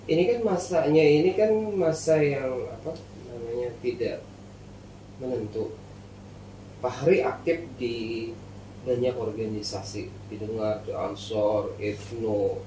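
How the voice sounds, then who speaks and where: A man, hosting a casual interview in halting short phrases. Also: medium pitch, warm tone. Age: early 40s. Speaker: podcast host. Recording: echoey room, distant mic, faint noise.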